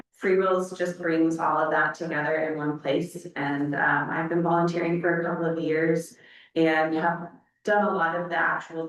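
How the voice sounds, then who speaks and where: A woman giving an informal, earnest and halting personal talk as a volunteer speaker. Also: fairly low pitch. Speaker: volunteer speaker introducing a friend. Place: large indoor church. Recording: echoey church hall, distant mic.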